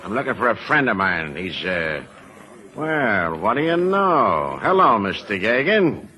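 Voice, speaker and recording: An actor playing a bullying, menacing American thug in a 1940s radio drama, brusque and commanding. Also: male voice, fairly low pitch, very animated, unhurried, sharp tone, full character voice. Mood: menacing, smug. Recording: noisy, crackly old radio recording.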